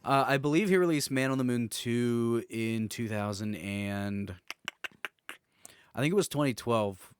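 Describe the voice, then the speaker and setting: A man's voice: medium pitch, a little slow, clean tone, dry recording. A man in his twenties co-hosting a casual podcast, informal and slangy, often searching for his words. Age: 20s. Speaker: podcast co-host.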